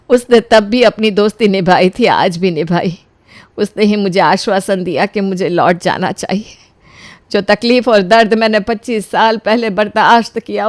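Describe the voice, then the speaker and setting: A woman's voice: mid-range voice, no echo, natural voice. A woman playing a wronged elderly mother-in-law in a dramatic audio story, speaking solemnly and with emotional emphasis.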